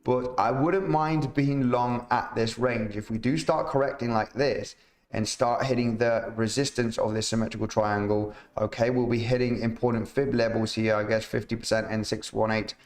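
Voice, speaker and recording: A man streaming live chart analysis, chatty and rambling, reading out viewer comments, his voice slightly rough. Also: medium pitch, fairly even intonation, normal speed. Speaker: crypto market analyst streamer. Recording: roomy echo, faint music behind.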